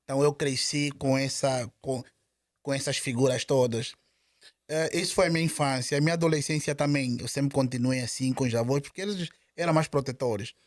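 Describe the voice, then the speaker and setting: A man's voice: medium pitch, fairly even intonation, fairly quick, dry recording. Angolan guy being interviewed, stops and restarts a lot, dry sound. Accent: Angolan Portuguese. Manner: hesitant, with many repeated words and restarts. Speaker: interview guest. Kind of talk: casual interview conversation.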